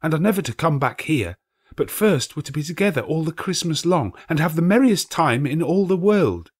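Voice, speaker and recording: A man's voice: fairly high pitch, animated, normal speed, slightly rough, pitched above the performer's own voice. A man doing a gleeful little girl. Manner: eager, breathless joy. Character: eager little girl, full of glee.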